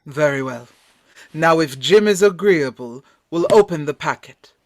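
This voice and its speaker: Man playing measured, slow-spoken gentleman doctor. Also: a little high, lively intonation, no room sound. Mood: shrewd, curious. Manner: deliberate, formal. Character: measured, inquisitive gentleman doctor, shrewd and courteous. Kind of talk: radio play reading.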